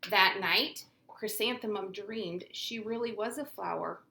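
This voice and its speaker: Gravelly woman reading a storybook aloud. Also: mid-range voice, measured pace, reverberant. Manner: expressive, storytelling read-aloud. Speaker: teacher. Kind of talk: reading a picture book aloud. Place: classroom.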